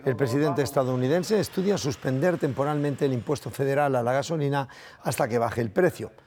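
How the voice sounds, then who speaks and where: A man's voice: medium pitch, a little fast, a little mellow. A man with a Castilian Spanish accent presenting the news in a scripted reading style.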